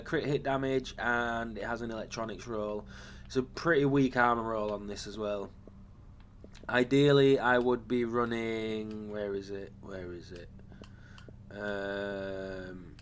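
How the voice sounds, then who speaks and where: A man hosting a gaming channel, casually talking through his game build. His voice is slightly raspy from a lingering cough, and he rambles, with frequent ums and self-corrections. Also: lowish voice, fairly bright tone, slight background noise.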